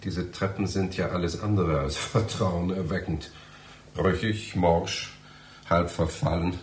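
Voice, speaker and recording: A man acting in a German radio play as a bewildered, injured visitor lost in a strange library, muttering and halting. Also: low-pitched, steady tone, a little hiss or hum. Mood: wary, muttering.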